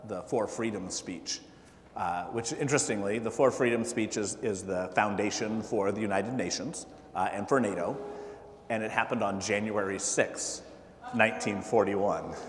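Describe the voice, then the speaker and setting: A man speaking as a political candidate at a town hall, halting and full of fillers and restarts. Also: sits low. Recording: echoey hall with faint noise.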